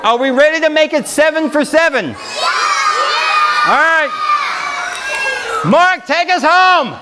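A middle-aged man leads a children's game in a high, projected voice, calling out prompts and encouragement like a game-show host. He is recorded in a large room, with a loud crowd of kids and keyboard music around him. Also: lively intonation, slow pace. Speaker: children's library story time host. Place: large carpeted library meeting room. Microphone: handheld microphone, filmed from far camera.

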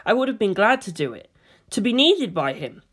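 A woman's voice: medium pitch, big pitch swings, normal speed, a bit bright, breathy edge. A woman acts out a teenage boy in a dramatic audiobook. He is agitated and full of protest. He questions everything with hurt suspicion. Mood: wounded disbelief. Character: distressed, argumentative, suspicious teenage boy, reluctant pilot. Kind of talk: dramatic audiobook character reading.

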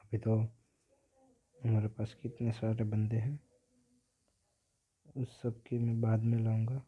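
A man's voice: fairly low pitch, flat delivery, slow pace, husky, muffled recording. A young man with an Indian accent giving casual mobile game commentary, often repeating short phrases. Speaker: gaming video host.

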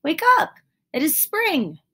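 Storybook frog, male, cheerful and eager, coaxing and excitable, pitched up, played by a woman. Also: slow, full character voice. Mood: excited, calling. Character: eager, cheerful male frog, urging his sleepy friend.

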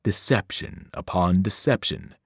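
Man voicing a deep, menacing monster from the pit. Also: husky, no echo, clean studio sound, full character voice. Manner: menacing, scornful, slow and looming. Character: cold ancient evil male monster in a pit.

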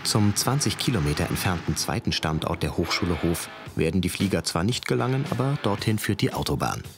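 A man's voice: low voice, animated, brisk. A man reading scripted narration for a television documentary. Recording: music behind the voice. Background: background music.

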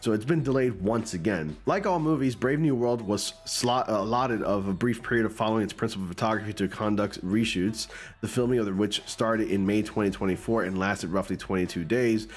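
A man's voice: lowish voice, a little fast, husky. A man in his forties with a stuffy, cold-clogged voice, casually commenting on movie news and reading an article aloud, close to a studio mic in a small room at home. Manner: conversational, reads news text aloud with asides. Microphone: large studio mic close in front.

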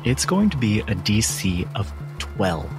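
A man's voice: fairly low pitch, very animated, measured pace. A man narrating a tabletop roleplaying game as the game master. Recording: music bed under the voice. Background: background music.

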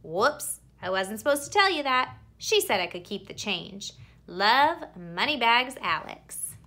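A woman reading a children's picture book aloud expressively, with theatrical emphasis and drawn-out words. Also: a little high, very animated, bright, forceful tone. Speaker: read-aloud storyteller.

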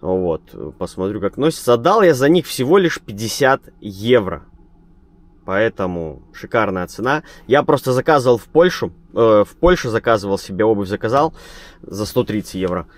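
A man who drives a truck, chatting to his viewers in a relaxed, rambling vlog style. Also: fairly low pitch, normal speed. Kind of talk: casual vlog chat. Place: truck cab and roadside.

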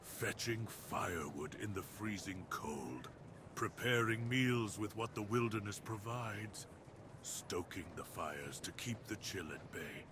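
A man's voice: lowish voice, lively intonation, takes it slowly, noisy background. A man voicing a proud, menacing dire wolf beast in an ASMR roleplay, speaking with grave, commanding, theatrical deliberation. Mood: solemn.

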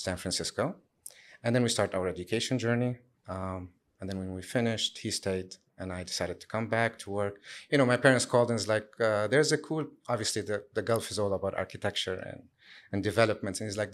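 A man in his thirties from Syria, answering interview questions in a relaxed way with many fillers and restarts. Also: on the low side. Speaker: guest, sustainability lead.

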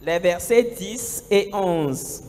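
A man's voice: high-pitched, drawn-out words, mellow. A man, preaching emphatically in a church hall through a handheld microphone, his sermon given in two languages line by line. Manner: emphatic preaching, rising to exclamations. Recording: long church hall reverb.

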